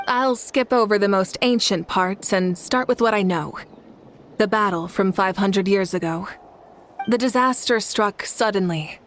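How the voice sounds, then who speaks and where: An actress playing a noble, resolute warrior leader in a video game, speaking formally and earnestly, in a measured way. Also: female voice, mid-range voice, a little hiss or hum, close to an everyday voice. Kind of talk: dramatic video game voice acting. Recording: game music behind the voice.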